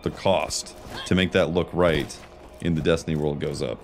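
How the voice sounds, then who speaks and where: A man's voice: extremely low pitch, fairly even intonation, normal speed, a little hiss or hum. A man streaming a video game, chatting casually in an informal, slangy way as he muses and comments on the game. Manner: informal, slangy, conversational musing. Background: video game music.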